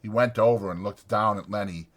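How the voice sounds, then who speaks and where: A man narrating an audiobook dramatically, in a measured and deliberate way. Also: on the low side, steady tone, fairly bright tone.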